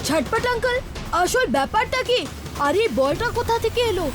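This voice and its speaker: A woman's voice: extremely high pitch, animated, fairly quick, over music. A woman voicing a curious, decisive cartoon child hero who questions and gives orders. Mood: concerned, curious. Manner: inquisitive, decisive. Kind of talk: animated cartoon dubbing.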